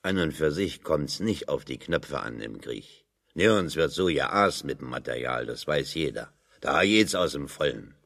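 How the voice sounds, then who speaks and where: A man's voice: very deep voice, expressive, a little slow, a bit bright, full character voice. An actor playing a sardonic, dry-witted working man in German radio drama dialogue, speaking colloquially and ironically. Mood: dryly ironic.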